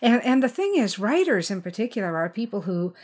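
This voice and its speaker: Female interview host, average pitch, conversational delivery, effusive and encouraging. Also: very animated, a little fast, darkish tone. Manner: effusive, affirming. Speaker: podcast host interviewing a writer.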